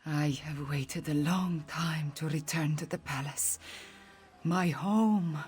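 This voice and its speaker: A woman playing a proud, solemn exiled princess in a fantasy game, speaking gravely and formally in a husky voice. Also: slow pace, darkish tone. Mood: solemn, hopeful. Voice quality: low, husky. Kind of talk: video game character acting.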